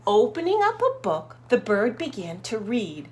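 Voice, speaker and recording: A woman reading a storybook aloud to young children, expressive and encouraging, putting questions to her listeners. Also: fairly high pitch, measured pace, a little hiss or hum.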